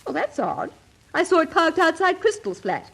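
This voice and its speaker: A woman's voice: very high-pitched, sing-song, moderate pace, gravelly, fairly bright tone, heavily acted. An actress playing a sensible, dry-witted young woman in a 1950s radio comedy, composed and pointed. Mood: dry, doubtful. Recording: 1950s radio play recording.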